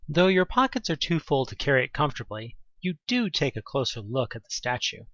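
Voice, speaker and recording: Guy reading a horror audio drama, really animated and suspenseful, kinda muffled lo-fi sound. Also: medium pitch, brisk, dry recording. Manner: expressive, suspenseful delivery. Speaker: narrator of an audio play. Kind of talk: dramatic second-person horror story narration.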